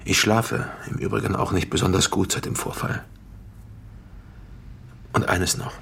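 Gefasster Politiker mit knarrender Stimme. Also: male voice, low-pitched, fairly even intonation, creaky, fairly bright tone, a little hiss or hum, exaggerated voice. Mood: controlled, earnest. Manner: matter-of-fact, measured, businesslike. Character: composed, controlled male mayoral candidate, former metalworker, conciliatory. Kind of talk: naturalistic German radio crime drama dialogue.

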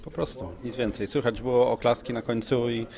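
With a busy crowd behind him, a male podcast host with a medium-pitched voice chats casually. He is playful and often repeats his words as he interviews. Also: steady tone, a little fast.

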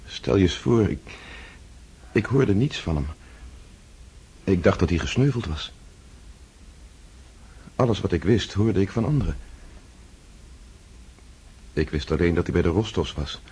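Gentle unsure young nobleman, halting speech, very deep voice, radio drama. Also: animated, breathy edge, full character voice. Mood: wondering. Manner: halting, stammers when moved.

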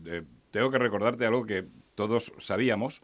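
A man's voice: lowish voice, normal speed, no room sound. A man from Ciudad Real, a panelist in a radio debate, speaking in an emphatic, lamenting way. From Ciudad Real, Spain.

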